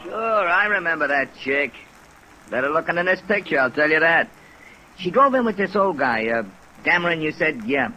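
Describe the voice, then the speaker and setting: A man's voice: a little high, animated, moderate pace, lightly acted. A man playing a breezy, folksy American witness in a 1950s radio drama, talking in slang. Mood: chatty. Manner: folksy, colloquial. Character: breezy, slangy male witness with some pity. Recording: old radio recording with faint rainy hiss.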